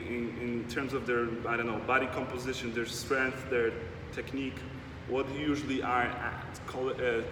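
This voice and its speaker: A young man from Europe interviewing a guest, asking questions with many restarts. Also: mid-range voice. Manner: halting, restarts a lot, friendly. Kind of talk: casual interview. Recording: echoey room, mic far off, noisy.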